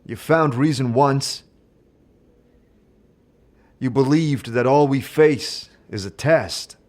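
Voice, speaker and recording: A man performing scripted narration and dialogue in an audio drama, in a measured, deliberate manner. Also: mid-range voice, darkish tone.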